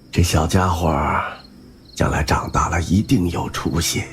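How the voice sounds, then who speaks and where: An actor playing a soft-spoken, quietly menacing middle-aged spymaster in a wheelchair in an audio drama. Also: male voice, low voice, breathy edge, full character voice. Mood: fond, sinister.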